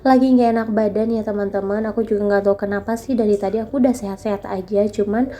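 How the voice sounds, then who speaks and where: A woman's voice: medium pitch, steady tone, fast, warm tone. A young woman with a nasal, stuffy voice from a cold, chatting casually in a vlog voice-over recorded at home. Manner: chatty, addresses viewers often as friends. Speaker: vlogger selling meatballs from home. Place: home, recorded at night after the day's filming. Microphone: voice-over dubbed separately.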